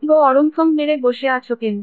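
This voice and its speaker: A woman plays a village housewife in a cartoon, pitched high. She coaxes and nags her husband with a demanding air. The audio is tinny and very dry. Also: brisk, no room sound, natural voice. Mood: concerned, questioning. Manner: nagging, coaxing. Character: demanding, wheedling village wife of fish seller. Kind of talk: Bengali cartoon story acting.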